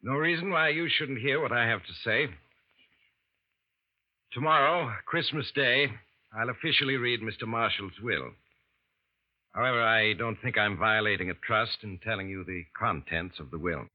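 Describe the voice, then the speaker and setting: A man's voice: medium pitch, lightly acted. A man playing a suspicious estate lawyer. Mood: formal. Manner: formal, measured, probing. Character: suspicious older male estate lawyer, formal. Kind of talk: 1940s radio drama acting. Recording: dull old radio broadcast.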